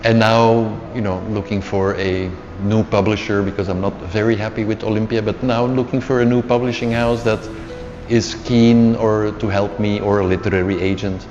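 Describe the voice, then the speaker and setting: Interview guest: middle-aged man, Dutch native speaker, low voice, casual and unhurried, restarts words. Dull lo-fi sound, long reverb, faint music under it. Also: level tone, a little mellow. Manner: unhurried, repeats words while searching.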